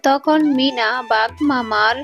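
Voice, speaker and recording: A woman's voice: on the high side, slow pace, fairly bright tone, dull audio, soft background music. A woman reading scripted narration for a cartoon fairy tale as its storyteller.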